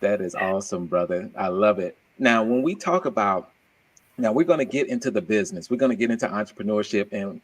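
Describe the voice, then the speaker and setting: A man's voice: lowish voice, expressive, fairly quick. A man hosting a podcast interview, upbeat and affirming, calling his guest brother. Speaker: podcast host. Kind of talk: casual interview.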